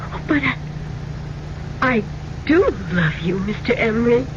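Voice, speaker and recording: A shy, adoring housemaid in an old radio play, speaks slowly and haltingly with deferential wonder. Her pitch swings widely with feeling, and the sound is dull and lo-fi. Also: animated. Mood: amazed, adoring. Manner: hesitant, breathy wonder, deferential. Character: timid, lonely, lovestruck housemaid, no longer young. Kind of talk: old-time radio drama acting. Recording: dull lo-fi radio recording, loud vehicle rumble.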